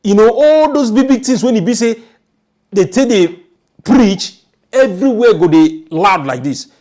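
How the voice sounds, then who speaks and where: A man's voice: sits high, animated, unhurried, darkish tone. A man with a Nigerian accent giving informal, emphatic storytelling commentary, often repeating his phrases. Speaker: online news commentator.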